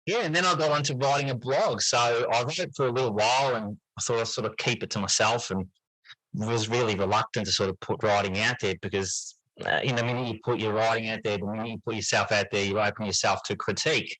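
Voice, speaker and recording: A man's voice: on the low side, steady tone, fast, a bit bright, dry recording, low-quality recording. A middle-aged man answering interview questions in a conversational, reflective and explanatory way. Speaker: interview guest, teacher and writer.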